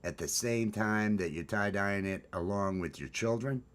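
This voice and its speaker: A man with a baritone voice hosts a casual morning chat, rambling with restarts and fillers. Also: moderate pace. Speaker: host.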